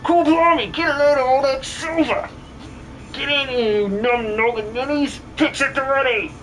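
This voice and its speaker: A man playing a bossy, excitable miner in a game, with slangy, exclamatory speech. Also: very high voice, moderate pace, a little hiss or hum, pitched above the performer's own voice, rough recording. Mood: excited, bossy.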